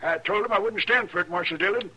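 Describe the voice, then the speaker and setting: A man's voice: high-pitched, fast, music in the background, natural voice. An actor playing a cranky, indignant male hotel keeper in a 1950s radio drama. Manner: cranky, huffy, folksy grammar. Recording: hissy old radio broadcast.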